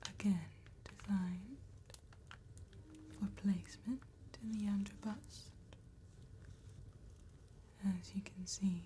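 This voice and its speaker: A woman playing an elegant, courteous vampire tattoo artist in a soft ASMR roleplay, whispering in a gentle, formal, precise way. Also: medium pitch. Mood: calm, admiring. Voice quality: breathy whisper.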